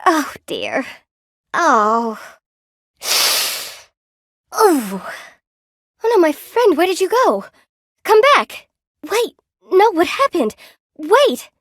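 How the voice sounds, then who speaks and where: A woman voicing a friendly, gentle spider-woman seamstress in a video game, sweet and polite, eager to please and a little lonely. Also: extremely high pitch, wildly swooping pitch, drawn-out words, pauses often, dry recording, full character voice. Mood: worried, dismayed. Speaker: game character, shopkeeper. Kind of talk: video game voice acting, short character barks.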